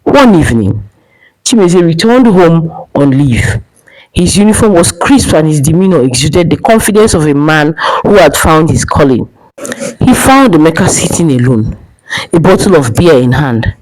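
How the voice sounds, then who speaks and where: A woman's voice: low voice, big pitch swings, moderate pace, a little mellow, poor audio. A woman narrating a dramatic folktale as a storyteller, in a scripted style.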